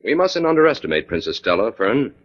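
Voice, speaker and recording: A man acting in 1940s radio style as a calm, authoritative scientist detective, measured and dryly skeptical. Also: medium pitch. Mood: calm, purposeful. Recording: dull vintage 1940s radio recording.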